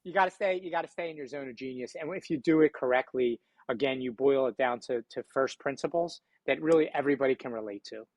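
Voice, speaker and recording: A man's voice: medium pitch, quick pace, hardly any pauses, no room sound. A man with a Philadelphia accent speaking as a podcast guest in an earnest, reflective and motivational conversational way. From Philadelphia, United States. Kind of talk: conversational interview.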